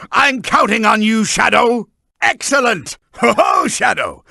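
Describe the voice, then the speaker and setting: A man voicing a pompous, boastful mad scientist villain in a video game, with a gravelly, forceful voice and theatrical, commanding delivery. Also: high voice, exaggerated voice. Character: boastful, scheming middle-aged male mad scientist villain, bombastic.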